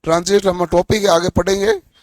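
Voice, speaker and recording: Hoarse man teaching a lesson. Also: high-pitched, sing-song, fairly quick. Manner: asks students questions and answers them himself. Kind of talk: classroom lecture.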